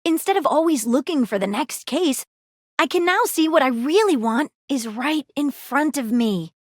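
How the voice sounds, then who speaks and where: A theatrical magnifying-glass detective, earnest and a little flirty, with a voice that sits fairly high. Her delivery swoops up and down as she puzzles over mysteries. The sound is close and dry, with no room around it. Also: animated, moderate pace, no echo. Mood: affectionate. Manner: dramatic sleuth delivery, earnest and playful. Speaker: dating-game character. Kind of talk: video game voice acting.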